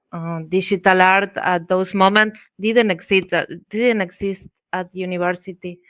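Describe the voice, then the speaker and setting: Middle-aged female interview guest, Argentine accent, Spanish native, slow halting conversational delivery, dry close sound. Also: mid-range voice, clear, resonant tone, no room sound. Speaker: interview guest, visual artist. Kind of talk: conversational personal storytelling.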